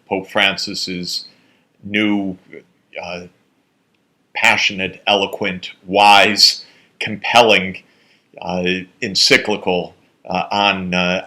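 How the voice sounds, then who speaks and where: Deep, slow man giving a halting formal speech. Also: sharp tone. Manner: halting, with frequent "uh" pauses. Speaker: economist giving a conference address.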